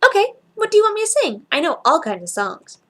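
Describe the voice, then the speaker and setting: A woman's voice: very high-pitched, huge pitch swings, a little fast, bright, forceful tone, voice pushed up, heavily acted. A woman voicing an earnest, chatty four-year-old boy in a story, with simple, childlike run-on sentences. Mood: proud, matter-of-fact.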